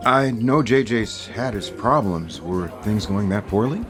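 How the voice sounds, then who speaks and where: An affectionate boyfriend in an audio soap opera. He has a deep voice and an easygoing delivery, with music playing clearly underneath. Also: expressive, measured pace. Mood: friendly, polite. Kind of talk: naturalistic soap opera dialogue. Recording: studio drama with music underneath.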